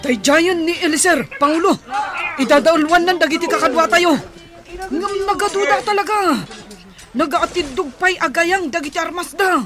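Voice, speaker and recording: A woman's voice: extremely high pitch, normal speed, music in the background. A woman with an Ilocano accent playing an anxious, loyal tribeswoman in a village battle radio drama. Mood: urgent. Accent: Filipino, Ilocano.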